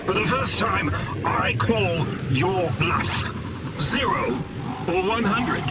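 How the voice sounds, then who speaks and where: Anguished high-pitched mutant man. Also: lively intonation, heavy background noise. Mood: resolute. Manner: intense, melodramatic, halting. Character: anguished, powerful mutant man, intense and desperate. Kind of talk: cinematic audio drama acting. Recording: very loud music over voice. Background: loud dramatic music score.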